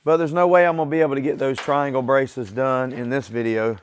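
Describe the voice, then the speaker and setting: A man's voice: mid-range voice, fairly even intonation, a little fast, slight background noise. A man hosting his own garage channel, talking casually to viewers in a plain, easygoing way, his voice weakened by illness. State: weak voice from illness. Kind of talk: casual workshop vlog talk. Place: home garage workshop.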